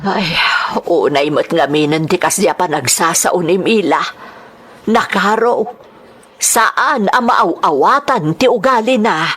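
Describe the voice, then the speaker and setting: A woman's voice: on the low side, slow, a bit bright, husky, heavily acted. A woman acting an anguished, protective older mother in a radio-style melodrama, questioning plaintively with an Ilocano accent. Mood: pleading.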